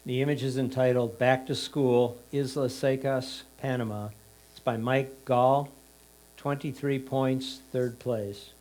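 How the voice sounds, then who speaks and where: A man with a middling pitch works as score announcer. He reads out titles, names and points in a plain, formulaic pattern. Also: steady tone, a little slow, darkish tone.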